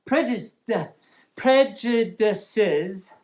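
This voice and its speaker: A woman's voice: medium pitch, very slow, a little mellow. A woman reading a humorous story aloud as host, playful and giggly, breaking off for casual asides. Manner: playful, giggly, stumbles over words and riffs on them.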